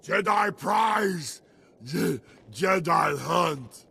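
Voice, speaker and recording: A man voicing a brutish, primitive alien raider in a video game, barking short broken phrases in a gravelly voice. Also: fairly high pitch, animated, extremely slow, sharp tone, raised voice, exaggerated voice. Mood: power-hungry. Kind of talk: video game character acting. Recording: game music behind voice.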